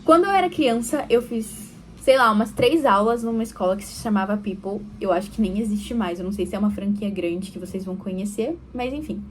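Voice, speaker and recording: A young woman with a Brazilian Portuguese accent, chatting casually to camera in a vlog, self-deprecating and quick to laugh. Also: medium pitch, a little hiss or hum. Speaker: vlogger.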